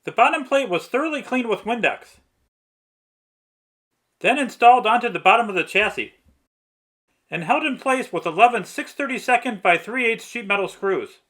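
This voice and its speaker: This is a man with a notably high, bright voice. He reads scripted tutorial narration as a hobbyist restorer explaining technical work. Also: expressive, normal speed, pauses often. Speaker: narrator, vintage radio restorer.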